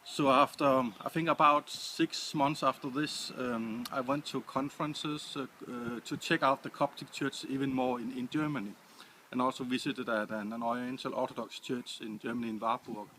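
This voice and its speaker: A man from Denmark with a Danish accent, answering interview questions in English with many pauses and restarts. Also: medium pitch, steady tone, sharp tone, slight background noise, poor audio. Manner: hesitant, many restarts and fillers. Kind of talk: casual interview.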